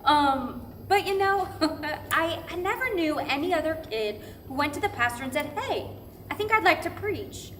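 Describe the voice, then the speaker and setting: Female pastor with wry self-deprecation, played by an actress, high-pitched, conversational stage storytelling, faint noise in the room. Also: animated, moderate pace, fairly bright tone. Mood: amused. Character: female pastor fondly mocking her teenage first sermon. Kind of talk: verbatim theatre monologue, conversational. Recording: faintly noisy live staged reading.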